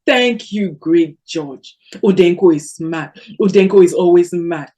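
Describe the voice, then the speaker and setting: A woman reading aloud, voicing a quarrelsome young village wife who scolds in a shrill, sneering voice. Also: a little slow, mellow, slightly rough. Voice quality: shrill, sneering sing-song.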